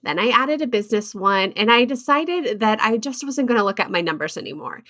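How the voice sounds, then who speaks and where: A woman's voice: mid-range voice, expressive, brisk, bright, forceful tone. A woman hosting a podcast, talking candidly and upbeat in a conversational way. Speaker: podcast host. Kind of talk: podcast intro and interview.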